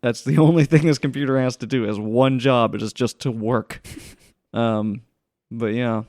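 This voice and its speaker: A man chatting casually as a podcast co-host, grumbling in a halting, informal way. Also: medium pitch, steady tone, a little mellow, no room sound, clean studio sound. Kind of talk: casual chat.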